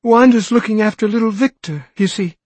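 A frantic young mother, as a man puts her on, begging in a strained, high, loud voice. The voice stays husky under the strain, with a dry, close sound. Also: fairly quick, no room sound. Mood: pleading. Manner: high, pleading, near screaming. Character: frantic young mother, desperate to get home.

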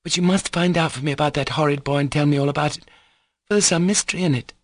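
A man reading an audiobook, voicing an imperious, excitable teenage girl who is bossy, urgent and exclamatory. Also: on the high side, a little fast, no echo, studio quality, voice pushed up. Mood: bossy, scolding.

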